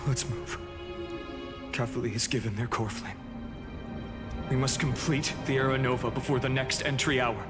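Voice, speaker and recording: An actor playing an earnest, resolute hero burdened by a sacred quest in a game, grave and deliberate. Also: male voice, mid-range voice, fairly even intonation, over loud music, lightly acted. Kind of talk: dramatic game voice acting.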